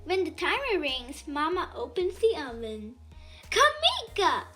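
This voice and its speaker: A woman reading a children's picture book aloud in an animated, expressive storytelling way. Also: high voice, normal speed, soft background music. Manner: animated, dramatizes dialogue.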